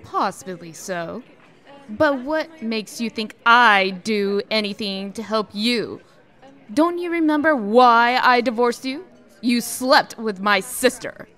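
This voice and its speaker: A woman's voice: fairly high pitch, lively intonation, slow pace, a bit bright. A woman playing an icy, venomous executive and scornful ex-wife, sarcastic and withering, in a comic dub. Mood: cold, bitter.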